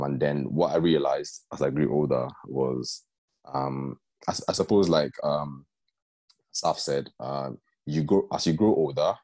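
Very deep-voiced man, earnest and chatty. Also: warm tone, breathy edge, no room sound. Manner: earnest, storytelling, keeps asking "do you know what I mean". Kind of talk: casual group chat.

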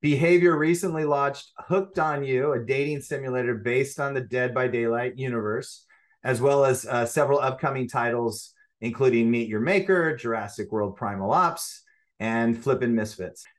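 A man hosting a podcast interview, relaxed and encouraging, asking short follow-up questions. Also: mid-range voice, moderate pace. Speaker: podcast host.

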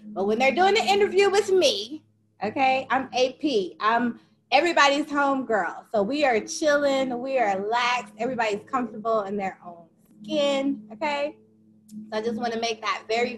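A woman's voice: a little high, slight background noise. A woman hosting a casual interview show as a life coach, chatty and upbeat, often checking in with "okay?" and laughing easily.

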